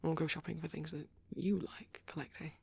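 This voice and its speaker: A man playing a shy, devoted vampire boyfriend in an intimate ASMR roleplay, gentle, hesitant and stammering, with a breathy voice. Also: on the high side, level tone, fairly quick, no echo, exaggerated voice. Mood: bashful, tender. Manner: hesitant, stammering, soft and rambling.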